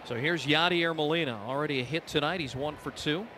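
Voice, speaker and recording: An American man calls a ballgame as a radio play-by-play announcer. His voice sits on the high side and climbs excitedly on big plays. Clear outdoor ballpark noise runs behind him. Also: brisk. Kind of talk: live baseball radio commentary.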